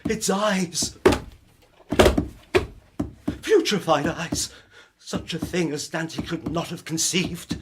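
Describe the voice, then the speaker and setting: A man's voice: fairly high pitch, slow, pauses often. A man playing an obsessive, tormented young scientist in a theatrical radio drama monologue, declamatory and impassioned, on a live stage. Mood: horrified. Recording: noisy live stage recording.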